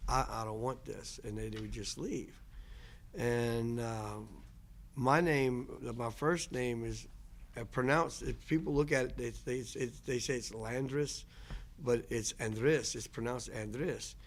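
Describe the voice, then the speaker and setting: Man, 60s, guest lecturer; reflective personal storyteller. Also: medium pitch. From Louisiana. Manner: unhurried, reflective, trailing restarts.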